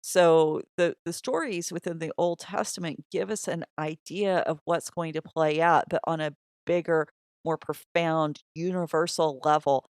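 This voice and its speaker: A woman's voice: low voice, steady tone, unhurried, darkish tone, clean tone, no echo, clean studio sound. A woman co-hosting a podcast, talking through scripture in a casual, conversational and explanatory way. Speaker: podcast co-host. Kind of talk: casual Bible discussion.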